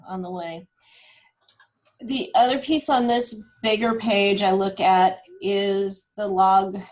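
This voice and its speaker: A woman presents information in an informal, unscripted way. Her pace is very unhurried and her pitch sits in the middle. She halts and thinks aloud as she works through it. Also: rough recording. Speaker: guest presenter explaining data; cancer research nurse. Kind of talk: informal data walkthrough.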